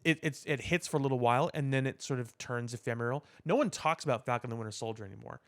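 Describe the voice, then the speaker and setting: A man with a medium-pitched voice speaks as a podcast co-host in casual discussion. He lays out his points deliberately, pausing and restarting as he explains. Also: fairly bright tone. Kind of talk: casual pop culture discussion.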